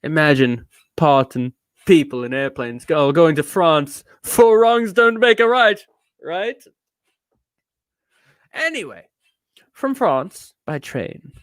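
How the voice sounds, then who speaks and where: A man's voice: fairly high pitch, slow pace, pauses often, dry recording, studio quality. A man voicing a bitter, neurotic ranter in a theatrical dramatic reading, with a put-on accent that shifts around. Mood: cranky storytelling. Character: edgy, bitter, ranting neurotic man telling a travelogue. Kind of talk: dramatic reading of a rambling rant.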